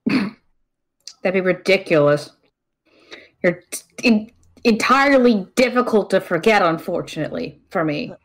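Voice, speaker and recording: A woman playing a dry, grudgingly fond magical companion, deadpan and teasing, in an improvised story podcast. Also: medium pitch, measured pace, pauses often.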